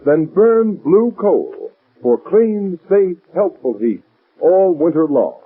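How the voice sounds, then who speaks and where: A man's voice: high-pitched, big pitch swings, slightly rough. Emphatic old-time male radio announcer, tinny sound. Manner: emphatic, deliberate, salesmanlike. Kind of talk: 1940s radio announcing and advertising. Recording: tinny, narrow-band old radio recording.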